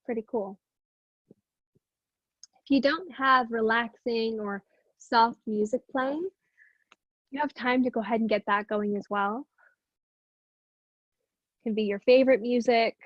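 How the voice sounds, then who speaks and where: A woman with a fairly high voice leads a guided meditation as a teacher. She speaks gently and takes her time, leaving long pauses between instructions. The sound is dry and close, though somewhat dull and lo-fi. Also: no echo.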